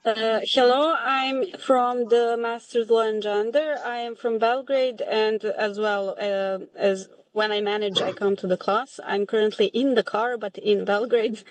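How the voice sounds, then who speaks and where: A woman's voice: a little high, fairly even intonation, unhurried, hardly any pauses, no room sound, distant mic, rough recording. A woman student calling in from a car, speaking in a friendly way.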